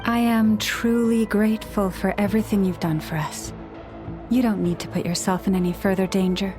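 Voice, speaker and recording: A woman playing a gracious, composed adventurer in a video game, speaking in a formal, measured way. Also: medium pitch, fairly even intonation, lightly acted. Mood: grave, grateful. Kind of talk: English video game voice acting. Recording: game music behind the voice.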